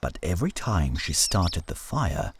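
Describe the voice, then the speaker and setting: A man narrating a scripted folktale as a storyteller, in a measured, solemn manner with deliberate phrasing. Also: low-pitched, big pitch swings, background noise. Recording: studio narration over music. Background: music.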